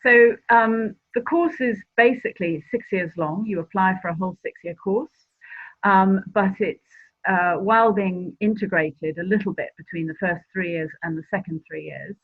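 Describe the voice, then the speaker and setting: A woman's voice: medium pitch, moderate pace. Woman tutor on a tinny line. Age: middle-aged. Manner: steady explaining with some ums. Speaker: medical tutor and researcher. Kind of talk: virtual open day presentation. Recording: tinny phone-like call audio.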